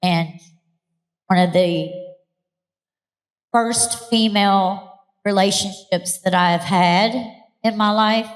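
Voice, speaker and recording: A woman's voice: mid-range voice, slow, pauses often. A woman giving an earnest, plainspoken personal testimony at an event, with a few fillers. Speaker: adult learner and college student. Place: event hall. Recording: long hall reverb.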